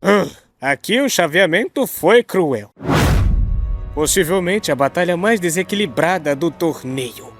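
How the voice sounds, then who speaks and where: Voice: medium pitch, lively intonation, measured pace, faint music behind. An actor voicing a brash, mocking young male anime hothead in a parody debate, taunting and exclamatory. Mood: mocking, dramatic.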